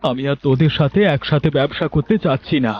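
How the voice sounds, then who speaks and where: A man's voice: medium pitch, fairly even intonation, fast, husky, lightly acted. A man voicing a scheming, stern elder brother from a farming village in a cartoon, with an argumentative, reproving manner. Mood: firm, discontented. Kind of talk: Bengali cartoon story dubbing. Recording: dull lo-fi audio, music bed.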